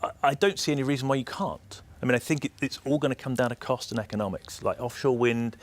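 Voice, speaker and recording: A man with a British accent giving measured, explanatory answers as an executive being interviewed. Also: mid-range voice. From United Kingdom. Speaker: energy system operator executive, interview guest.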